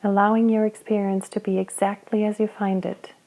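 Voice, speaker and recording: A woman guiding a body scan meditation, speaking slowly and gently with long pauses between phrases. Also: medium pitch, level tone, poor audio. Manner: slow, soft, gentle guidance with long pauses. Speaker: meditation guide.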